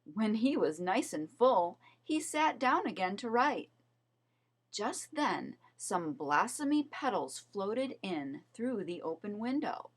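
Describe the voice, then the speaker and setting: A woman reading a children's story aloud as narrator, in an expressive, measured storytelling manner. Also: a little high. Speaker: storybook reader.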